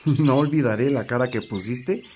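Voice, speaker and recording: A man's voice: mid-range voice, normal speed, noisy background, natural voice. Man acting a brotherly friend among birdsong. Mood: fond, teasing. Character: familiar, teasing male friend, like a brother. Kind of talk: Spanish-language radio drama acting.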